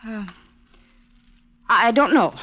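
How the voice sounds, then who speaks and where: High, animated, spirited girlfriend. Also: slow, full character voice. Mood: frightened, defiant. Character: spirited, possessive well-to-do girlfriend of a detective. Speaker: radio actor. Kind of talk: 1940s-50s radio drama acting. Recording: hissy old radio recording with clicks.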